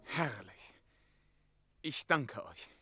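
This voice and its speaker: An actor playing an ardent, tender knightly hero in a radio play, earnest and romantic. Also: male voice, medium pitch, lively intonation, extremely slow. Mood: grateful. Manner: earnest, romantic, swinging from hushed to declamatory. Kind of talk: German radio play acting.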